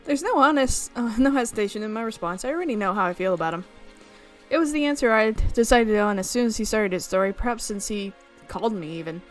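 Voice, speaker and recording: Girl voicing a soft-hearted but resolute young visual novel heroine, earnest and measured, music behind. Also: mid-range voice, expressive, close to an everyday voice. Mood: cold resolve.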